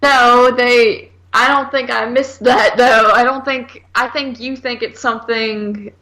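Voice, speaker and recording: A woman's voice: a little high, fairly even intonation, unhurried, pushed and bright, low-quality recording. A woman co-hosting a film review podcast, chatting casually and argumentatively in blunt, slangy speech peppered with "like.". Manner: blunt, exasperated, slangy, repeatedly hedging with "like".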